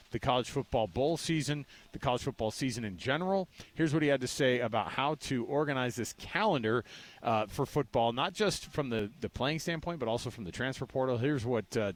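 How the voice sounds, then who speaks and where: An American man hosting sports talk radio in an informal, conversational way. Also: mid-range voice. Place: outdoors at a ballpark.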